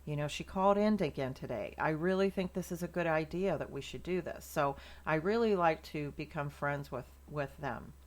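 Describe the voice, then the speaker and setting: A middle-aged American woman with a notably low voice talks casually to her viewers. She comes across as a sales trainer, chatty and rambling, leaning on her points with emphasis. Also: moderate pace, hardly any pauses. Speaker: sales trainer hosting a morning segment. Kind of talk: casual motivational talk to viewers.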